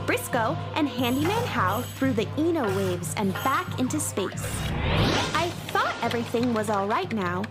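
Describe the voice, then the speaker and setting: A woman playing an earnest, kindhearted young cowgirl heroine in a children's radio drama. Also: high-pitched. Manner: earnest, storytelling. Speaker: narrator and lead actor.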